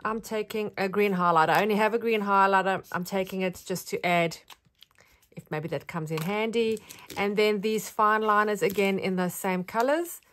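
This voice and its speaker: A woman's voice: mid-range voice, normal speed, a bit bright. Chatty South African woman crafter. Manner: chatty, friendly, rambling with fillers. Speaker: craft vlogger. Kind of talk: casual show-and-tell.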